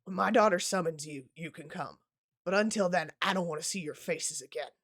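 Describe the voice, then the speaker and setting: A woman's voice: fairly low pitch, fairly quick, fairly bright tone, dry recording, clean studio sound. A woman voicing a curt, angry old farmer defending his daughter. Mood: stern. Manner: curt and sardonic.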